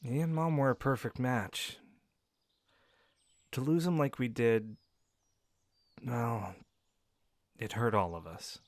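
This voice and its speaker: An actor playing a young male werewolf and caring pack beta, speaking gently and reassuringly straight to the listener in an audio roleplay. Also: mid-range voice, slow pace, pauses often, no room sound, natural voice. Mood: somber.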